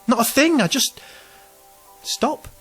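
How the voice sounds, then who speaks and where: A man's voice: extremely high pitch, slow pace, a bit bright, breathy edge, soft background music, exaggerated voice. An actor playing an anxious, self-pitying man in his twenties, hesitant and rambling, in an audio drama. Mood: uneasy, confiding. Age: 20s.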